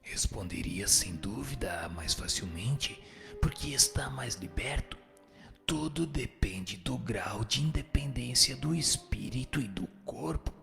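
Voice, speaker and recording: A man playing a solemn spirit instructor in a scripted radio drama, answering in a measured, didactic, declamatory way. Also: mid-range voice, animated, gravelly, pushed and bright, full character voice. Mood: solemn, instructive. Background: music.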